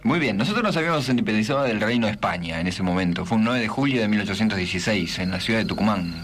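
A man's voice: fairly low pitch, animated, racing, a bit bright, slight background noise. A man with an Argentine accent hosting a comic radio interview in a conversational way. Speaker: radio host.

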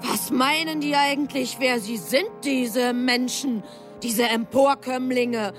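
An actress playing an earnest, defiant subordinate angel in a radio drama, speaking insistently. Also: female voice, sits high, takes it slowly, faint music behind. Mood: defiant, indignant.

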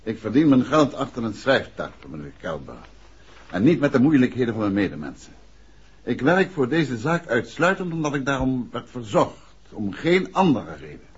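Husky-voiced, composed gentleman detective. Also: medium pitch, big pitch swings, moderate pace. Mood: firm, dignified. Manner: polite, assured, businesslike. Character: composed, principled male writer and amateur detective. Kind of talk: 1960s Dutch radio play acting. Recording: dull, muffled old radio recording.

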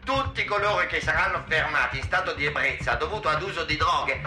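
An actor playing a defensive, self-righteous doctor in a radio debate, formal, insistent and interrupting. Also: male voice, on the high side, a little fast, close to an everyday voice. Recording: echoing room, faint music behind.

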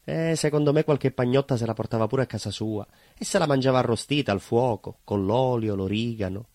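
An actor playing a simple, proud working-class baker in a stage monologue, earnest and conversational, with a southern Italian accent. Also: male voice, mid-range voice, fairly quick, darkish tone, dry recording, studio quality, close to an everyday voice. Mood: nostalgic, proud. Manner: naive, earnest, emphatic, conversational. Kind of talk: theatrical monologue, colloquial storytelling.

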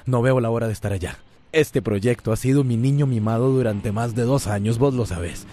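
A man's voice: mid-range voice, brisk, dark tone, a little hiss or hum, natural voice. A man playing a buoyant, ambitious professional with a Costa Rican accent, chatting exuberantly in a radio soap. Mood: excited. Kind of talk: radio soap opera acting.

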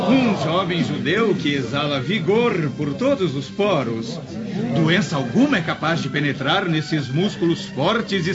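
A cruel slave merchant in a radio play, pitched high as he declaims his sales pitch to the crowd. The recording is dull, with loud music behind him. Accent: Brazilian. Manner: declamatory street-seller's pitch. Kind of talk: theatrical radio drama acting.

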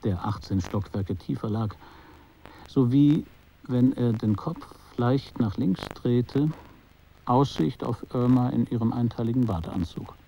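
A detached photographer narrating a literary radio drama. His voice is very dark and muted, with a husky grain. He recounts things in a measured, matter-of-fact way, watching more than feeling. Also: medium pitch. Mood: detached, reflective. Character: detached, observant male art photographer recounting his encounters. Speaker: narrator and main character of a radio play.

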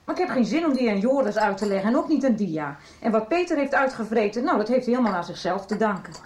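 A woman playing a brisk, blunt, down-to-earth wife in a radio soap, chatty and dismissive, with noise in the recording. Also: a little high, very animated, darkish tone, echoey room. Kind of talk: 1990s Dutch radio soap acting. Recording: clearly audible background noise.

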